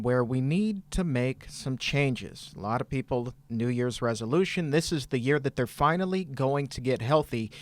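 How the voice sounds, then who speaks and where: A man's voice: medium pitch, no echo. Guy hosting a podcast, upbeat and encouraging, regular mid-range voice. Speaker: podcast host. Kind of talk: interview hosting. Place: studio table.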